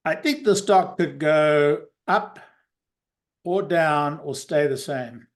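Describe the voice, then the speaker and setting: A man's voice: on the high side, slow pace. A man giving casual market commentary as a guest, hesitant and hedging, with dry humour.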